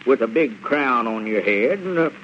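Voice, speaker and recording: An actor playing a coaxing, smooth-talking rural circus manager with a Southern US accent, in old radio comedy style. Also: male voice, mid-range voice, animated, natural voice. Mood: reassuring, flattering. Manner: folksy, persuasive, halting with "well, now". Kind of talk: 1930s-40s radio comedy acting. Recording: muffled, noisy vintage radio recording.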